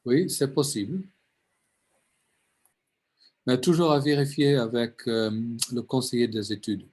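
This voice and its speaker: A man who is not a native French speaker, answering prospective students' questions earnestly in an online session, a little halting. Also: mid-range voice, darkish tone. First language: neither French nor Italian. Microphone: video call.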